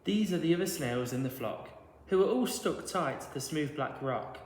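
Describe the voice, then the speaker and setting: A man's voice: fairly high pitch, lively intonation, moderate pace. A man reading a rhyming children's storybook aloud in a rhythmic narrating style. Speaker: teacher reading to pupils. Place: large reverberant indoor room. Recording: echoey hall with long reverb.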